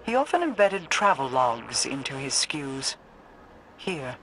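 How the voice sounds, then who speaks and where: A woman's voice: extremely low pitch, big pitch swings, unhurried, a bit bright, a little hiss or hum, heavily acted. A woman playing a cool, reserved queen in a video game, explaining things with formal, composed, aloof delivery. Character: regal, cool, reserved queen guiding through ancient paths. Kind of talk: dramatic video game exposition.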